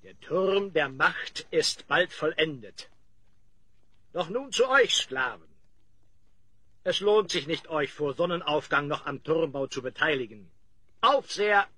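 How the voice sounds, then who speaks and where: High-voiced, haughty slave overseer. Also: male voice, animated, unhurried, long pauses, pushed and bright, breathy edge, exaggerated voice. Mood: commanding. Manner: imperious, scornful. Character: haughty, stingy male chief overseer of slave labor. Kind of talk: German fantasy audio drama acting.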